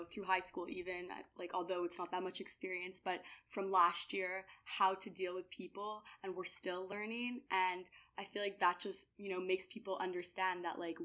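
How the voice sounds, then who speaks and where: A woman's voice: fairly low pitch, fairly bright tone. A young adult woman giving earnest, conversational answers as a podcast guest. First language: bilingual in French. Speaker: podcast guest, youth volunteer. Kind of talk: casual interview answers.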